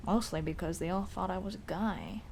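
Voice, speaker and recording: A woman playing a young tomboy childhood friend in an ASMR roleplay, speaking in casual boyish slang with hesitant pauses. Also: fairly low pitch, a little mellow, slight background noise, lightly acted. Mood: scornful, blunt. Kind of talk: ASMR roleplay, intimate one-sided dialogue.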